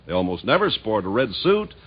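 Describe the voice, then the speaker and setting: A genial male radio announcer with a husky, mid-pitched voice that swings widely. He delivers folksy scripted banter on a slightly muffled vintage recording. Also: sing-song, fairly quick.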